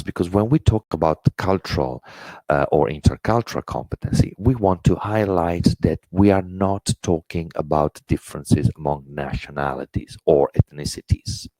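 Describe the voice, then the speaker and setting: Italian-accented man with a very deep, gravelly voice. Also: expressive, normal speed, dark tone, dry recording. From Italy. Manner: earnest, many fillers and restarts. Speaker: intercultural education presenter. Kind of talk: webinar lecture.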